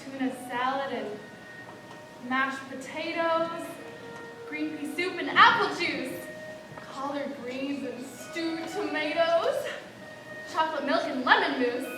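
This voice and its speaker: A woman on stage playing a showy, teasing trickster, reciting comic verse in a deliberate, declamatory way. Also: sits high. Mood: mysterious, teasing. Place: large hall, school stage play. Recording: echoey hall from far off, crowd and music.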